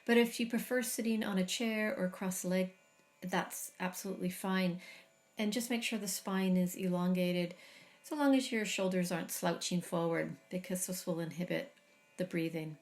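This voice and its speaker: A middle-aged woman guiding a body scan meditation, speaking slowly and soothingly with long pauses. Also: fairly low pitch, fairly even intonation, faint music behind. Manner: slow, soft, soothing guidance with long pauses. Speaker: meditation teacher.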